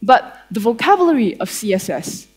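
A woman's voice: fairly high pitch, sing-song, normal speed, husky. A young woman with a Malaysian accent giving a tech conference talk on stage in a conversational, playful way with dry humour. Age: young adult. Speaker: conference speaker, developer advocate. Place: theatre stage before an audience.

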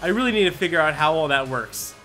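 A man giving let's play commentary, thinking aloud, reading item text and breaking into quick excited exclamations. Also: on the high side. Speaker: gaming channel host. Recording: game music playing underneath. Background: game music.

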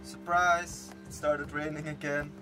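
Young man, Dutch speaker, slightly high voice, casual travel vlog, clear music behind. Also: flat delivery, measured pace, pushed and bright. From Belgium. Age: 20s. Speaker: travel vlogger. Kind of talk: casual vlog narration to camera. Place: inside a camper van and outdoors on trips. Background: background music.

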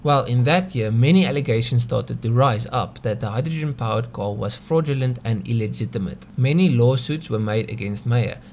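A man's voice: medium pitch, normal speed, hardly any pauses, a little mellow. South African man narrating over background noise. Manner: conversational, rhetorical questions. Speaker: video host. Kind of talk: scripted narration for an explainer video.

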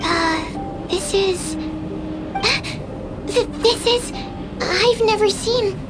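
A woman playing a shy, earnest young female alchemist in a video game, soft and girlish, speaking in a timid, formal way with small stammers. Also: very high voice, drawn-out words, exaggerated voice. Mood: curious, amazed. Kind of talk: video game character acting. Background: music.

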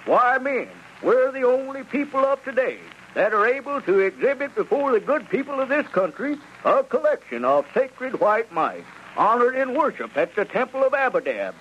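An actor playing a boastful, smooth-talking country squire in a radio comedy, pompous and given to hemming and showman talk. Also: extremely high pitch, very animated, moderate pace. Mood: grandiose. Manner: pompous, hesitant hemming, then grandiose showman. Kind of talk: 1930s-40s radio comedy acting. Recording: muffled, noisy vintage radio recording.

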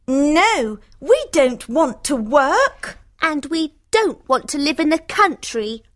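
A woman voicing a spoiled, idle young sister in a fairy tale, with a whiny, drawn-out, petulant delivery. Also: high voice, sing-song, a bit bright, pitched above the performer's own voice, exaggerated voice. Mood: whining refusal.

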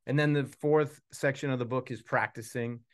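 Man, forties, mid-pitched voice, loose casual presenter talk, self-deprecating, rambling. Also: steady tone, moderate pace. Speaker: puppeteer and children's book author. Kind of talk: casual live book launch chat. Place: small indoor room with puppet stage.

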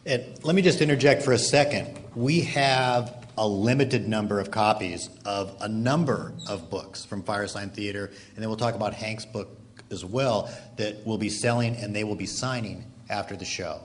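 A man's voice: lowish voice, normal speed. A man hosting a live event as interviewer and moderator of a panel. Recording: noisy live stage recording.

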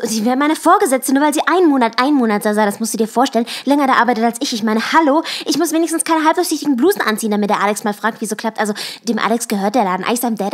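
A woman's voice: a little high, natural voice. A woman voicing a chatty, bubbly, self-absorbed young woman in a short story, gushing and chattering cheerfully. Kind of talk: acted short-story reading.

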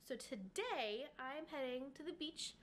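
Young woman vlogging from her bedroom, high voice, says like a lot, bit of hiss on the mic. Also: lively intonation, unhurried, a bit bright. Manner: chatty, many "like" and "um" fillers. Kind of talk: casual vlog talk. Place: bedroom, indoors.

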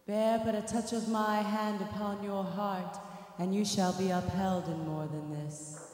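A young woman acts as an unearthly spirit in a staged radio drama. The delivery is slow, solemn and commanding, ringing with echo in a big hall. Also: mid-range voice, fairly even intonation, darkish tone, natural voice. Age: young adult. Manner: measured, solemn. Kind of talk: staged radio play reading. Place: on stage in a large theatre. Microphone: handheld-type mic on stand. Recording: echoey theatre PA, long reverb.

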